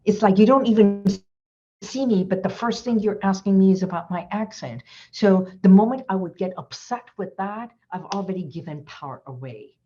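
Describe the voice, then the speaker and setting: A woman's voice: mid-range voice, lively intonation, normal speed, warm tone, muffled recording, a little hiss or hum. A woman hypnotherapist giving an earnest, reflective inspirational talk as a guest in an online session, repeating her key phrases.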